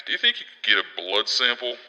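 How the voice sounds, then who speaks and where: Sharp, echoing formal researcher. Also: male voice, mid-range voice, huge pitch swings, fast talker, highs cut off, full character voice. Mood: formal, measured. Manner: formal, courteous. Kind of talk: audio drama acting.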